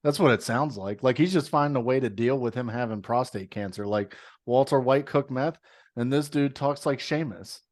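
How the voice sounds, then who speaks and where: A man's voice: mid-range voice, moderate pace. Ranting, profane man on a podcast. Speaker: podcast co-host. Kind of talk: casual chat.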